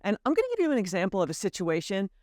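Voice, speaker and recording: A woman's voice: mid-range voice, very fast, no echo, clean studio sound. An American woman telling a personal story to camera in an earnest, candid way. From United States. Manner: earnest, candid, lively emphasis. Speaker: vlogger.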